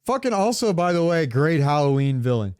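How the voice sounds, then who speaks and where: A man's voice: a little high, very animated, fairly quick, smooth, no echo, studio quality. A man co-hosting a podcast in casual, enthusiastic chat, with slangy, sweary talk. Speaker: podcast co-host. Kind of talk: casual chat about comics, movies and games.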